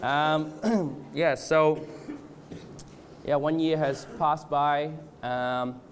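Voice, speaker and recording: A man from Australia and Canada with a cold, speaking as a preacher in an informal, halting, hesitant way. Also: on the high side, steady tone, a little hiss or hum. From born in Australia, moved to Canada. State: has a cold. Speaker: guest preacher at a church revival gathering. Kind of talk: informal sermon with congregational prayer.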